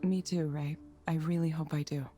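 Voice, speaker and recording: An actress plays a troubled woman engineer in a visual novel game. She sounds introspective and downcast, with a fairly low voice. Soft music runs underneath. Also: fairly even intonation, normal speed, close to an everyday voice. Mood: apologetic, subdued.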